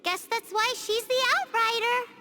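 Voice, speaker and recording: A woman voicing a tiny, cheeky fairy companion in a video game, squeaky and chatty. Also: steady tone, measured pace. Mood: amused. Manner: squeaky, cheeky, refers to herself by name. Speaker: game character. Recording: game audio with music behind.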